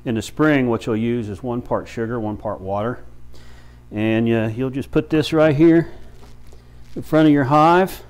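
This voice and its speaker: A man in his fifties giving an informal how-to demonstration in a plain, folksy, halting way, as a practical instructor. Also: medium pitch, darkish tone. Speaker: beekeeper and bee farm shop owner, instructor. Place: small brick-walled room with many windows, indoors.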